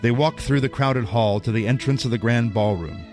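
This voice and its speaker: A man's voice: mid-range voice, normal speed, darkish tone, soft background music. A man narrating an audio drama from a script as its storyteller.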